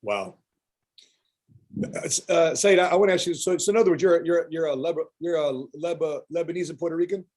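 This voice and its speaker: A man's voice: on the high side, brisk, darkish tone. A man hosting a podcast, asking questions in a playful, joking way and stumbling over words now and then. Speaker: podcast host. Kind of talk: casual podcast interview.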